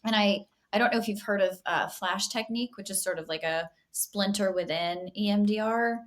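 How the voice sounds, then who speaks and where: A woman's voice: mid-range voice, moderate pace. Enthusiastic woman therapist hosting a podcast. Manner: enthusiastic, conversational, laughs easily. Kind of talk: casual podcast interview.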